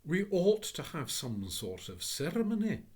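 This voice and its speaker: A man voicing a flustered, polite elderly office gentleman who talks in a fussy, high, slow and bewildered way. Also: wildly swooping pitch, slightly rough, full character voice. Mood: anxious polite.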